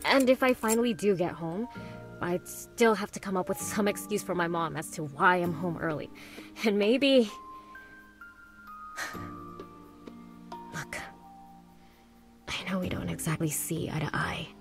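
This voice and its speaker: A woman's voice: on the low side, a little slow, pauses often, faint music behind. A woman voicing a tough, guarded but kind teenage girl in a visual novel, with a blunt, sardonic delivery. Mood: hesitant, guarded.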